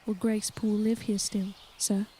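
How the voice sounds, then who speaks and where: An actress playing a reserved, principled young woman in service in a period audio drama, measured, earnest and deferential. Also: mid-range voice, little pitch movement, warm tone, slight background noise, lightly acted. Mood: quiet concern. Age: young adult.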